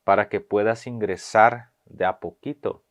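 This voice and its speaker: A man, giving a video language lesson and slowly repeating phrases with hesitant fillers. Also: lowish voice, steady tone. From Paraguay. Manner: slow, careful repetition of phrases, with hesitant fillers. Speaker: language teacher.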